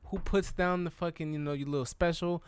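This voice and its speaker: A man's voice: fairly high pitch, fairly quick, clear, resonant tone. A man co-hosting a gaming podcast, boasting and trash-talking his friends. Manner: boastful, animated trash talk. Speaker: podcast co-host. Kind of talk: casual gaming chat.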